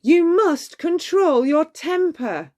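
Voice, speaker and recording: A woman's voice: extremely high pitch, expressive, slow pace, darkish tone, voice pushed up, heavily acted. A woman voicing eager enchanted household servants in a storybook, emphatic and instructive. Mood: urging.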